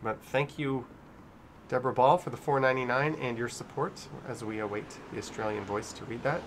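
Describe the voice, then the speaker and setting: Male livestream host, mid-pitched, informal and chatty, addressing his chat over light background noise. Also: steady tone, brisk. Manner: chatty, informal, reads out viewer messages. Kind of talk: casual live commentary and chat reading.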